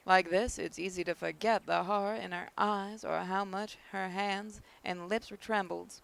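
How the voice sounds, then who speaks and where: A woman reading visual novel narration aloud in a measured storytelling manner, with an occasional stumble. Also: mid-range voice, fairly even intonation, creaky, a bit bright. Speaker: narrator, video game player reading aloud.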